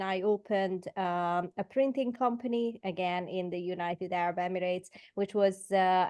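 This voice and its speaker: A Hungarian-accented woman in her forties talks through her career as a podcast guest. Her speech halts often on fillers and restarts. Her voice sits at a middle pitch for a woman. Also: a little mellow, clean tone. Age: 40s. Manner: hesitant, many fillers and restarts. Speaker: guest entrepreneur. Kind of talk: podcast interview.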